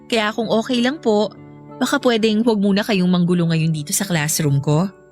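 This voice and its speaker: An earnest young female teacher, played by an actress, with a mid-pitched voice. She is guarded and polite, in a radio drama style. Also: steady tone, moderate pace, darkish tone, faint music behind, close to an everyday voice. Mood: cold, formal. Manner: polite, formal, restrained.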